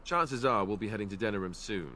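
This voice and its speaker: An awkward, earnest young knight talks in a hesitant, self-effacing ramble in a game. His voice sits a little low. A faint hum runs behind him. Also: quick pace, pushed and bright. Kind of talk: game character dialogue.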